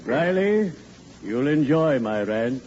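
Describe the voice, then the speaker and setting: An actor playing a cheerfully morbid, genteel undertaker in a 1940s radio comedy, with formal, measured, unctuous delivery. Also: male voice, medium pitch, expressive, a little mellow. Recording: noisy, dull old radio recording.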